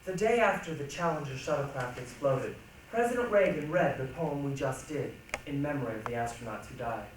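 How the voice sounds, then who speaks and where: A man's voice: mid-range voice, animated, brisk. A man giving scripted narration in a readers' theatre performance. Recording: very echoey hall, distant mic, faint noise.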